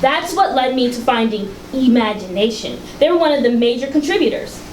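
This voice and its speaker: An actress in a staged reading, playing a prim, anxious researcher from a far-future utopia, speaking in precise, formal, didactic phrases. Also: female voice, a little high, animated, a little fast, darkish tone, natural voice. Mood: formal, instructive. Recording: echoey, noisy live room recording.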